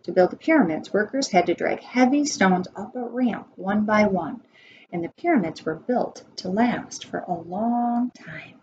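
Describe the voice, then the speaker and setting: American woman, forties, preschool teacher read-aloud, instructive manner, medium pitch, dull webcam audio, faint background noise. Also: a little slow. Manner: instructive, asks listeners questions. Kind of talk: read-aloud of a children's nonfiction book with asides.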